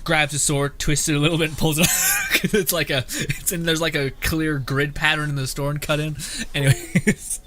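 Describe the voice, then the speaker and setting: A man's voice: a little high, little pitch movement, normal speed, pushed and bright, slight background noise. A young man doing casual, joking gameplay commentary, rambling and sarcastic with some swearing. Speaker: game streamer. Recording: game music behind voice.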